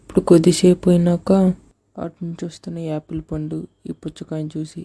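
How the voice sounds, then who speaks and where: A woman's voice: on the low side, little pitch movement, brisk, warm tone. A woman narrating a scripted moral story for children.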